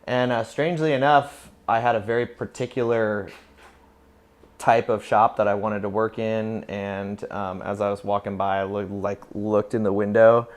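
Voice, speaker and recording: A man's voice: fairly low pitch, fairly even intonation, normal speed. An American man in his thirties speaking candidly and matter-of-factly in a casual documentary interview. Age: 30s. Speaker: barber. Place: inside a barbershop.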